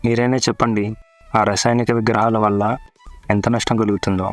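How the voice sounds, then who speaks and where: A man's voice: lowish voice, flat delivery, fairly quick, faint music behind, lightly acted. A man playing a sensible, traditional-minded village uncle in a cartoon story, firm and respectful. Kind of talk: Telugu cartoon story dialogue.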